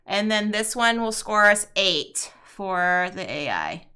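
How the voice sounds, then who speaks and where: Slow-talking bright-voiced woman streamer. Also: mid-range voice, big pitch swings, clear, resonant tone. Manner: chatty, talks to chat viewers, sings playfully. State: slightly raspy before morning tea. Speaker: streamer playing a solo card game. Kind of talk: casual live-stream commentary.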